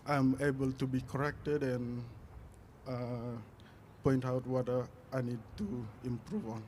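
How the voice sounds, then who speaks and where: A man giving unscripted interview answers at a live event, halting and hesitant with many pauses. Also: mid-range voice, a little mellow, a little hiss or hum. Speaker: athlete being interviewed.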